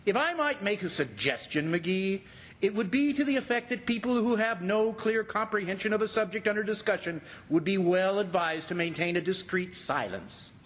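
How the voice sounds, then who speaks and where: A man's voice: high-pitched, lively intonation, normal speed, a bit bright. A man playing a pompous, long-winded, easily affronted mayor with formal, wordy diction in an old-time radio comedy. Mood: stiffly polite.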